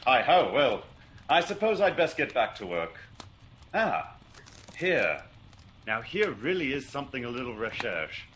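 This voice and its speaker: An actor playing a wry, teasing gentleman detective in a radio drama, with a coaxing, theatrical delivery. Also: medium pitch, very animated, measured pace, a little hiss or hum. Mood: coaxing, intrigued.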